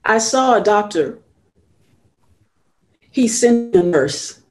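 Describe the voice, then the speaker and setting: Female reader as an anxious woman looking after her sister, polite, mid-pitched, table read. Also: lively intonation, slow, darkish tone.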